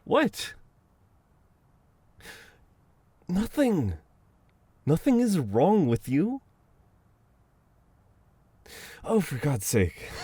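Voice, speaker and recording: A man's voice: medium pitch, dark tone, no echo, full character voice. A man acting a flustered, teasing best friend with a dominant streak in an intimate, conversational ASMR roleplay, often sounding exasperated. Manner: conversational, exasperated, breaking into chuckles and sighs.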